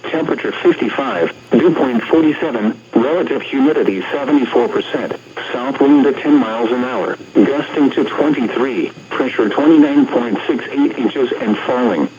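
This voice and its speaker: A man's voice: medium pitch, expressive, normal speed, few pauses. A synthetic American male announcer reads scripted weather reports in an impersonal, segmented way over the radio. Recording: muffled, noisy weather radio broadcast.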